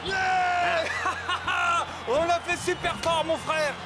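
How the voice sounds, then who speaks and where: A man voicing an exuberant young champion footballer in a cartoon, whooping and shouting. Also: extremely high pitch, steady tone, slow pace, exaggerated voice. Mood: jubilant. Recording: loud music and crowd noise behind.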